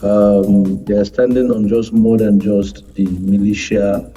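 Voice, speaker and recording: A man with a Nigerian accent giving formal analysis as a panel guest, in measured, wordy, halting phrases. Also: on the low side, little pitch movement, dark tone, husky. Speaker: guest governance commentator. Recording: muffled distant microphone, faint music behind.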